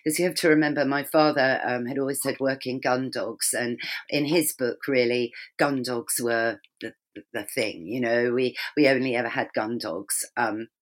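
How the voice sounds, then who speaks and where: Low-voiced chatty woman guest. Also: steady tone, moderate pace. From England. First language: Swedish. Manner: chatty, lots of ums. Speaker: interview guest. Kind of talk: conversational interview.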